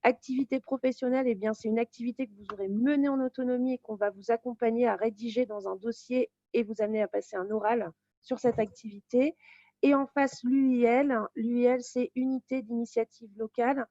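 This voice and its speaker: A woman with a high voice explains a course the way a teacher would, informally and step by step. The sound is close and dry, though a little dull and lo-fi. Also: fairly even intonation, fairly quick, dark tone, no echo. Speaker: teacher presenting a real estate course.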